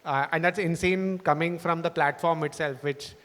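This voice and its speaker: A man's voice: a little high, steady tone, normal speed, a bit bright. A man presenting for a startup on stage, asking an interview guest questions in a casual way with many fillers. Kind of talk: onstage interview. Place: large event hall. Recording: reverberant hall PA sound.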